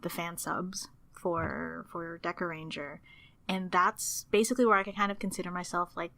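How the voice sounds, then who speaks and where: A woman in her thirties from the Philippines, a podcast guest telling her story in a casual, animated way, laughing often and acting out her past thoughts. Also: on the low side, no room sound.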